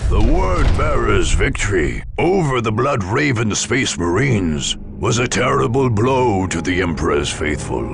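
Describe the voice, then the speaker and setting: A portentous war chronicler for a video game, slow and gravelly, with a swooping, theatrical delivery. His narration is grave and measured over dramatic music. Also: medium pitch, heavily acted. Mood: solemn.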